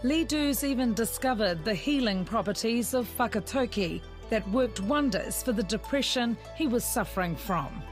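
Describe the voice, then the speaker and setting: A woman reporter reading a scripted news voice-over with an even, clear delivery. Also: fairly high pitch, normal speed, hardly any pauses. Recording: music bed under voice-over.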